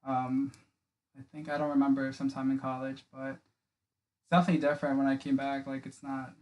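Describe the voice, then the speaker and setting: A man's voice: mid-range voice, completely flat delivery, brisk, darkish tone. A young man from the Philippines, a podcast guest chatting casually in a small home studio, rambling with lots of filler words. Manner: rambling, many filler likes and restarts. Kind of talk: casual interview chat. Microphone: studio mic on boom arm, wearing headphones.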